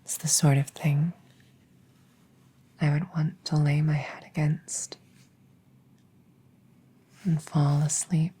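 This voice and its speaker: Breathy, hushed young princess, acted by a woman. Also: sits low, level tone, exaggerated voice, low-quality recording. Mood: tender wonder. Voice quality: soft, breathy, often whispering. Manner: slow, hushed, deliberate, coy pauses. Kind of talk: intimate roleplay audio drama, ASMR-like.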